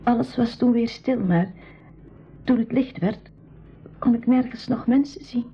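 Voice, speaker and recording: A woman's voice: fairly high pitch, unhurried, warm tone, a little hiss or hum. A shy village girl of seventeen, frightened and hesitant as a refugee in an old radio drama. She is sparing with words. The recording is dull and lo-fi. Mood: sad, shaken. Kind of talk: 1980s school radio play acting.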